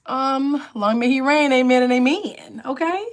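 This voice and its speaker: Raspy-voiced woman chatting on a livestream. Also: unhurried. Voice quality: lower alto voice. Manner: chatty, reads and answers comments aloud. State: raspy voice from illness. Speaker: livestream host. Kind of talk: casual livestream chat with viewers.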